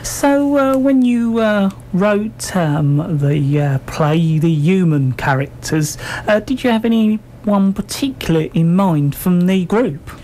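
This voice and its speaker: A male radio host with a fairly high voice for a man. He puts his interview questions in a halting, hesitant way, with slight noise behind him. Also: lively intonation, darkish tone.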